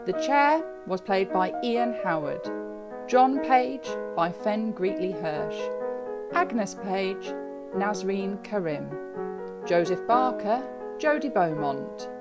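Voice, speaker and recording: A woman reading the closing credits as a scripted announcement, slow and clear. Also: medium pitch, lively intonation. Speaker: credits narrator. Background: piano music.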